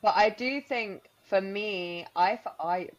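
A woman's voice: mid-range voice, slow, dull audio. A woman in her thirties who grew up in Spain, co-hosting a podcast in casual, rambling chat full of likes and restarts. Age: 30s. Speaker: podcast co-host.

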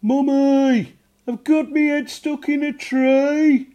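A man voicing a small, mischievous, nosy goblin boy in a high, squeaky, whining voice. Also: fairly even intonation, slow pace, warm tone. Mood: wailing.

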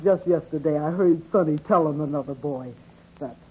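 A woman's voice: low-pitched, moderate pace, slightly rough. An actress playing a devoted, attentive wife and mother in a 1940s radio drama. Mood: tender, proud. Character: devoted, attentive wife and mother welcoming her husband home. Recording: dull, muffled 1940s radio recording.

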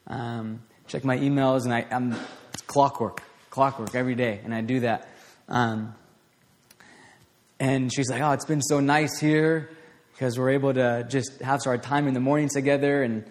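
A man giving an informal, conversational sermon to a small group, with a voice turning raspy and plenty of restarts and fillers. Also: mid-range voice. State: voice getting raspy. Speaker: preacher. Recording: long hall reverb.

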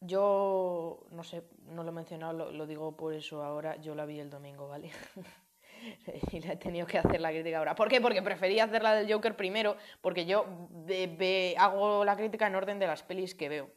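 A woman's voice: fairly low pitch, steady tone, fairly quick, a little hiss or hum. A woman chatting through a casual film review, addressing viewers with many fillers and restarts.

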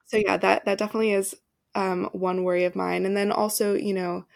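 A woman's voice: medium pitch, little pitch movement, fairly quick, gravelly, no echo, clean studio sound. A young woman co-hosting a podcast, talking in a hesitant, casual way with many ums and restarts. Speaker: podcast co-host.

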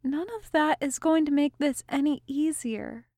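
Woman voicing an excitable, high-pitched young female mage. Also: a little slow, no echo, clean studio sound. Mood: soft, sad. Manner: breathless, rambling, earnest. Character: excitable, anxious young woman mage blurting dire warnings.

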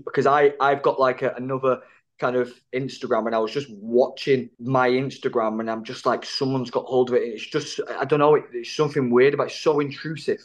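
Male actor guest, animated anecdotes, lots of "like", sweary. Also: mid-range voice, a little fast. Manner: animated, filler-heavy, swears. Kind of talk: casual storytelling chat.

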